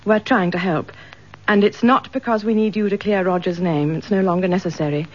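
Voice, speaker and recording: Actress as husky, motherly English woman. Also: medium pitch, fairly even intonation, brisk, natural voice. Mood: soothing, coaxing. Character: motherly, coaxing detective's wife, gentle but persistent. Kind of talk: British radio detective drama acting. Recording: dull lo-fi radio drama recording.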